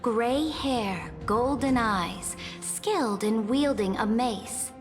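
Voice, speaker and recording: A woman's voice: on the high side, slow pace. A woman playing an imperious, conquering empress in a video game, haughty and commanding in a grand, formal, declamatory way. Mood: regal, composed. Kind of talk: dramatic video game voice acting. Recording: long hall reverb, music behind.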